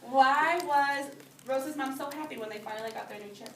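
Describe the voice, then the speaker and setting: A woman's voice: sits high, a little fast. A woman teacher reading a storybook aloud to young children and talking it over, patiently and simply, repeating their answers back. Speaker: preschool or early grade teacher. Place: indoor room with young children. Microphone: phone or camera at a distance. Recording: roomy, distant phone video with noise.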